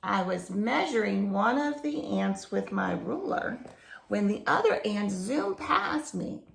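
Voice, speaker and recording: Slow-paced woman reading a children's story. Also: medium pitch, gravelly, reverberant, slight background noise. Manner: explains to young listeners with simple questions and expressive emphasis. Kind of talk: read-aloud picture book storytelling with asides to children.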